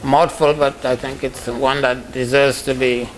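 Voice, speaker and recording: A man's voice: medium pitch, level tone, measured pace, a little hiss or hum, low-quality recording. A man chairing a question session, courteous and lightly joking. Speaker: moderator.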